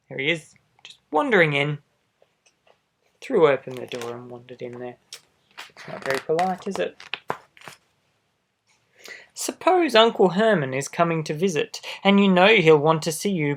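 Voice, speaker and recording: A man reading a children's storybook aloud expressively, adding gentle asides for young listeners. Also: fairly high pitch. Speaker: storybook reader. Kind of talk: children's picture book read-aloud.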